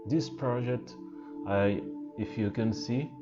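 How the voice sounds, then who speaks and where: Portuguese-accented spiritual teacher, slow and halting, muffled sound with singing bowl music. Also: male voice, mid-range voice. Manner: hesitant, halting, many fillers and restarts. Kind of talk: unscripted spiritual talk to camera.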